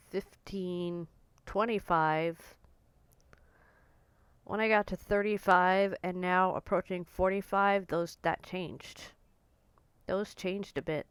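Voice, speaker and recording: A woman in her forties talking casually to camera in a blunt, outspoken way, her voice a little croaky and hoarse. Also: mid-range voice, no echo. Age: 40s. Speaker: vlogger.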